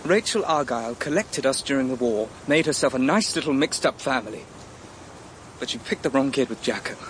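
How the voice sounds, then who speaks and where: An actor in a radio drama playing a bitter, mocking adopted son who talks in a blunt, sardonic, goading way. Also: male voice, medium pitch, lively intonation, fairly quick, slight background noise, lightly acted, rough recording. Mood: bitter, challenging. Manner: sardonic, colloquial, challenging.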